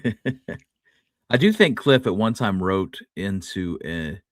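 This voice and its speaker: A man co-hosting a casual history discussion, adding low-key, tentative comments. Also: animated, slow, no room sound, clean studio sound. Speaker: podcast co-host.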